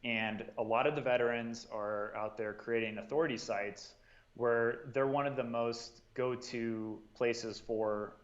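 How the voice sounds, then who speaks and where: A man in a casual podcast discussion, handing out advice in a relaxed way with frequent "um"s and "you know"s. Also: medium pitch, measured pace, muffled recording. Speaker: guest, marketing and sales coordinator.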